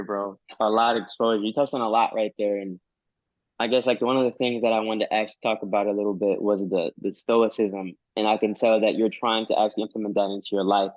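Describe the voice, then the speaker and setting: Male host, lower voice, fumbling for words, dry muffled call audio. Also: no room sound. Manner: searching for words, lots of ums. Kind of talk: casual interview questions. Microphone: video call.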